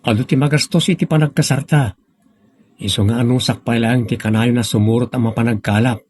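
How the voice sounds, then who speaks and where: A man acting a generous, fond young fisherman in a radio drama, lively and reassuring, with a Filipino accent. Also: mid-range voice, brisk, slightly rough, close to an everyday voice.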